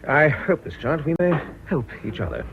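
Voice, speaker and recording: An actor playing a slightly pompous, persuasive gentleman broadcaster with an English accent, urbane and formal, in a radio drama. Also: a little high, sing-song, moderate pace, creaky. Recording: dull, faintly noisy radio recording.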